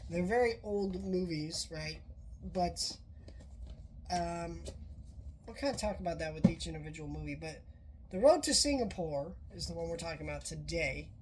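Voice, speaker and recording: A woman's voice: on the low side, expressive, moderate pace, darkish tone, a little hiss or hum, poor audio. A woman who is sick and sounds off, casually reviewing a movie in a rambling way with many fillers and restarts. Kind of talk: casual spoken film review.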